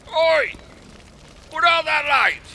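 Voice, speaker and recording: An actor playing a gruff but friendly British wartime neighbour on blackout patrol in a radio play, with a raspy, strained voice. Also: male voice, very high-pitched, expressive, slow pace, pushed and bright, exaggerated voice. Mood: officious, matey.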